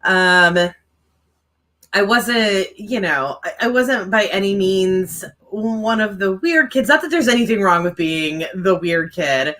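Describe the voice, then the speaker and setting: Woman co-host with a mild stutter and a bright, forceful tone, rambling casually to an online audience. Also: mid-range voice, animated, slow. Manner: rambling, with many fillers. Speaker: writing livestream co-host and author. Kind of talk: casual chat to viewers.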